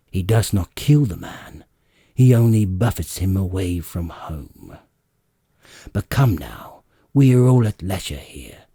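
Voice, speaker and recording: Man playing grand old father god, deep, dark, ponderous, exasperated, lordly, epic audiobook. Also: slightly rough, dry recording, clean studio sound, exaggerated voice.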